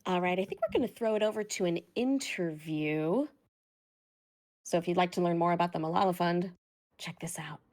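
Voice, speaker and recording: A woman's voice: fairly low pitch, normal speed, a little mellow. A woman hosting a charity marathon live, chatting casually and playfully as she goes through donation updates.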